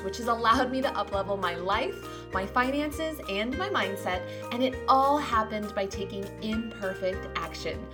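A woman with a naturally loud voice hosting a podcast solo, talking in an upbeat, hyper and emphatic way. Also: fairly high pitch, echoey room. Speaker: podcast host, business coach.

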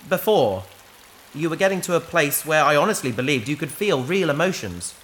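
A woman playing a patient, wry adventurer in a sci-fi audio drama, dryly and gently correcting an AI companion. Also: deep voice, animated, a little fast, a little hiss or hum. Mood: gentle, reassuring.

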